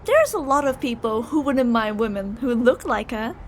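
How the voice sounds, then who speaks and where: A woman's voice: on the high side, sing-song, normal speed, a little hiss or hum. A woman playing a possessive, delusional young yandere bully in an ASMR roleplay, teasing, insistent and emotionally volatile. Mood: sweetly pushy.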